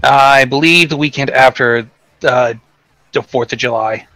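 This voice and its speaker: A man's voice: mid-range voice, moderate pace, bright, forceful tone, dry recording, poor audio. A man in casual, sweary chat as a guest in a group voice call.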